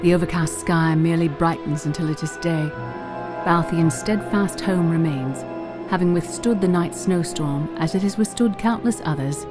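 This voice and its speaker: A woman narrator reading scripted story narration for a fantasy audio drama. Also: fairly low pitch.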